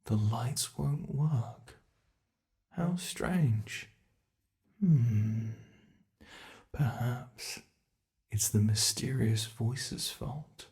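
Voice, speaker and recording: A man voicing a gleeful, menacing, centuries-old monster under the bed in an ASMR horror roleplay, teasing in a whispery way with drawn-out words. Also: medium pitch, a little mellow. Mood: teasing, sinister.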